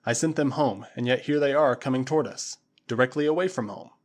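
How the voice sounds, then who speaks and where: A noble lord of the jungle in a classic adventure novel, composed and sure of himself, as a male narrator reads him. He speaks in a steady, measured manner with quiet authority. The delivery stays low-key and close to the reader's natural voice. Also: close to an everyday voice. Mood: calm. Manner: calm, measured, commanding. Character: calm noble jungle lord, grown man.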